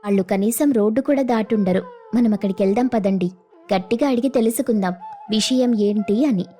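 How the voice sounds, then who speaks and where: A woman's voice: medium pitch, brisk, mellow, soft background music, close to an everyday voice. A woman voicing a firm, reproachful young woman of the household in a moral story cartoon. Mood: insistent.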